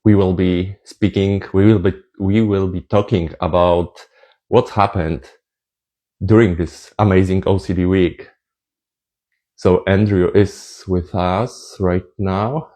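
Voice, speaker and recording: A man hosting a live stream who is losing his voice, talking in a halting way with repeated words and restarts. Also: low-pitched, fairly even intonation, dark tone. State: losing his voice, sore throat. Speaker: live stream host, meme creator. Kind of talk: casual live stream chat. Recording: echoey room.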